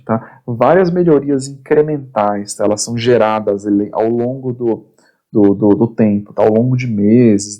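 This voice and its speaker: A man teaching an online course lecture, his voice husky and going hoarse. He explains in short bursts, repeats himself and keeps checking that the listener is following. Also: mid-range voice, warm tone. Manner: explains in short phrases, constant "tá?" check-ins, repeats words. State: getting hoarse. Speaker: instructor.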